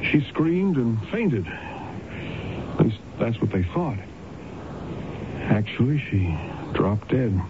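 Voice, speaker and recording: An actor playing a matter-of-fact doctor in a 1970s radio drama. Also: male voice, medium pitch, big pitch swings, slow pace, pauses often. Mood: earnest, reporting. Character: matter-of-fact male doctor, colleague explaining an incident. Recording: dull, noisy 1970s radio broadcast recording.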